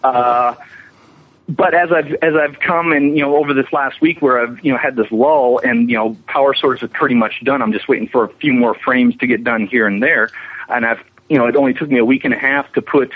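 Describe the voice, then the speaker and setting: A man's voice: mid-range voice, steady tone, fairly quick. A man being interviewed as a guest, talking in a casual, explanatory and enthusiastic way, rambling on about his work. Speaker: interview guest, visual effects animator. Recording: dull lo-fi, radio-like remote line.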